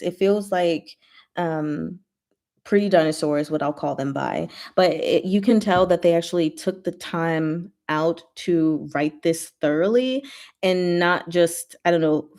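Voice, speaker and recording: A woman's voice: on the low side, warm tone. A woman hosting a casual livestream, chatting with viewers in short, giggly asides. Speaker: livestream host.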